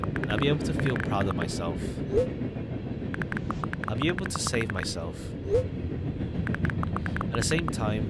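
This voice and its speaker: A man's voice: fairly low pitch, very noisy. A man reading visual novel text aloud as a game streamer, adding the odd aside in his own words. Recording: loud jazzy game music behind. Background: jazzy retro game background music.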